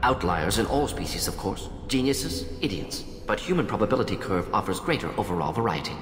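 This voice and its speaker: Game role: clinical, opinionated alien scientist male actor. Low register, terse analytical fragments, heavy hall reverb. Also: expressive, brisk, a little hiss or hum, lightly acted. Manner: clipped telegraphic sentences, dropping small words, analytical. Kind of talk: video game character acting. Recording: echoey hall reverb, music behind. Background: game music.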